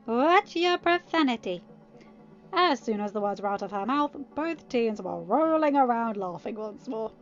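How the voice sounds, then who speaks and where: A woman giving an expressive scripted narration of a story, as a podfic reader. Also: a little high, moderate pace, few pauses. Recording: slightly dull recording. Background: music.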